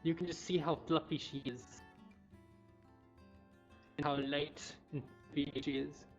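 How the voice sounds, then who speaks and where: A woman's voice: low-pitched, steady tone, unhurried. A woman hosting a casual livestream, chatting informally and speaking affectionately to animals. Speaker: cat cafe livestream host. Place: indoors in a cat cafe. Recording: dull livestream audio, music behind.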